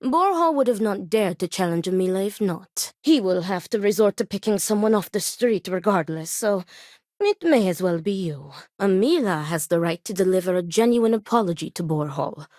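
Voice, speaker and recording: Game casting: stern, weary, principled woman played by an actress, formal diction, very animated pitch, dry close sound. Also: no echo, close to an everyday voice. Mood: grave, earnest. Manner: formal, measured, stern phrasing without contractions. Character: grave, principled woman acting as duel second, devout, weary. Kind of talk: video game character dialogue.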